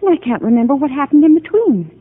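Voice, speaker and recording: An actress playing a confused, fragile married psychiatric patient, hesitant and bewildered, in 1950 radio drama style. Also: female voice, high-pitched, big pitch swings, quick pace. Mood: childlike, anxious. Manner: hesitant, bewildered, childlike questioning. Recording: muffled narrow-band 1950 radio broadcast.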